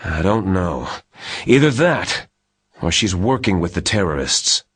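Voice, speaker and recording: A gruff older military adviser from a video game. His husky voice is low and swings widely as he lays out suspicions. He sounds insistent and conspiratorial. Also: very animated, unhurried, a bit bright, full character voice. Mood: grave, cautionary. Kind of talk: dramatic video game acting.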